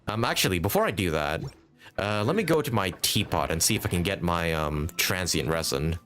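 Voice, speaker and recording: A man's voice: deep voice, lively intonation, fairly quick, a bit bright, soft background music. A man giving casual, rambling live commentary as he streams a game, thinking aloud and chatting to viewers. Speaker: game livestreamer.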